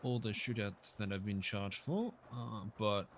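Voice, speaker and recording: Hesitant low-voiced man roleplaying. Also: a little slow, a little hiss or hum. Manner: hesitant, many fillers, soft trailing off. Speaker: roleplay player. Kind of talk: in-character roleplay chat.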